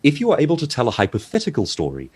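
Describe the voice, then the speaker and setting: Male guest author, low slightly husky voice, very animated intonation, articulate and emphatic advice-giving over a video call. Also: racing. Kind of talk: seminar interview, giving advice.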